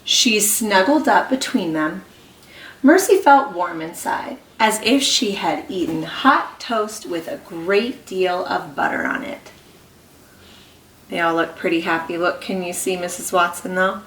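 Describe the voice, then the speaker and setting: A woman kindergarten teacher reading a story aloud to young children, expressive and encouraging, pausing to ask them questions. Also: on the low side, distant mic. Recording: echoey room.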